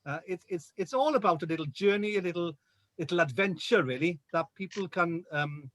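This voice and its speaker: A man's voice: high-pitched, expressive, brisk, no room sound. A Welsh man as a radio guest, chatting casually and often repeating words as he searches for them. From Wales. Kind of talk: casual chat on a radio show. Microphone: video call.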